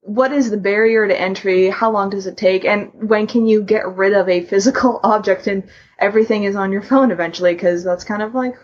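A woman chatting casually as a podcast co-host, thinking aloud in a halting way. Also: medium pitch, fairly even intonation. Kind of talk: casual chat. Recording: dull lo-fi voice recording.

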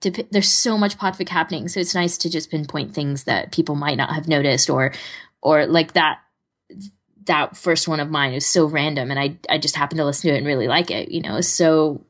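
A woman podcast co-host with a low-pitched voice. She chats casually and agreeably, in loose conversational phrasing. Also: brisk.

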